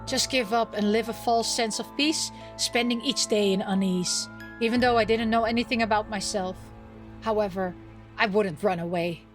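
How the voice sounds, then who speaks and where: A woman's voice: mid-range voice, moderate pace, faint music behind. A woman reading a visual novel aloud as a gaming host, with casual commentary of her own.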